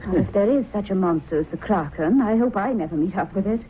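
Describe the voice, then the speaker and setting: An actress playing a witty, wry reporter's wife in a vintage radio drama. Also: female voice, mid-range voice, lively intonation, fast talker, lightly acted. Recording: noisy, narrow-band old radio recording.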